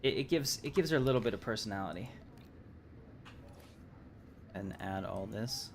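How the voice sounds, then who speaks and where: A man's voice: on the low side, expressive, moderate pace, noisy background. A man giving casual live gameplay commentary, musing aloud and hesitating as he thinks through choices. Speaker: game livestreamer, host.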